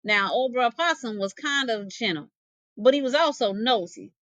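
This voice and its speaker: A woman's voice: a little high, lively intonation, moderate pace, fairly bright tone, no room sound, dull audio. A woman narrating a folktale expressively, with folksy dialect phrasing and dramatic delivery. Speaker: storyteller, English teacher.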